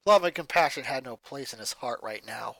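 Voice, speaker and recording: A story reader narrates fan fiction with dramatic expression. The delivery is animated, the pitch rising and falling freely. It is recorded close on a home microphone, with no room echo. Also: fairly quick, creaky.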